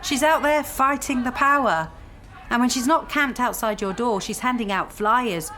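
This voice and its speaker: A woman's voice: on the high side, normal speed, sharp tone, slight background noise. An actress playing a bitter, intense young woman, a scorned lover pressing her point insistently in a naturalistic radio drama. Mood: urgent, accusing.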